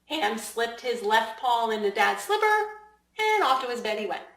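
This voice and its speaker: A woman's voice: on the high side, very animated, moderate pace, fairly bright tone. Echoey storybook read-aloud by a woman. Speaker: children's author reading aloud. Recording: echoey room.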